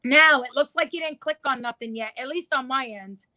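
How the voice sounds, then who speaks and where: A woman with a New York accent, a guest on a livestream, talking quickly and chatting freely. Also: high-pitched, sharp tone, dry recording. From New York City. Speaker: guest, miniature painter. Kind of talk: casual livestream chat.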